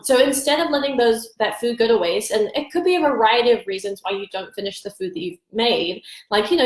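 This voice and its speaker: A woman's voice: fairly high pitch, echoey room. A woman with a congested, stuffy voice, giving chatty, upbeat meal prep advice as host of a live stream. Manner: upbeat, chatty, greets viewers by name. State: congested from allergies. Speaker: nutrition coach hosting a live stream. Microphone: phone or webcam for a live stream.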